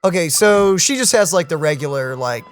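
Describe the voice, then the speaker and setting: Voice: mid-range voice, animated, normal speed, faint music behind. A gaming streamer in casual reaction chat over gameplay, speaking informally and inquisitively, often repeating words.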